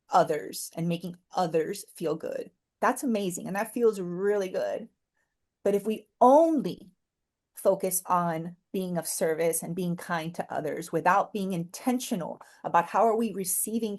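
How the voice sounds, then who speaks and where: A woman speaking as a podcast guest in an earnest, encouraging, heartfelt conversational way, repeating phrases for emphasis. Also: fairly low pitch.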